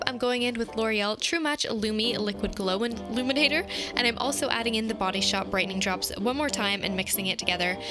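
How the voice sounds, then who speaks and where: A young woman in her twenties hosting a beauty tutorial, chatty and upbeat as she explains each step in a voice-over. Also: a little high. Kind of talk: voice-over makeup tutorial narration. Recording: voice-over with clear background music.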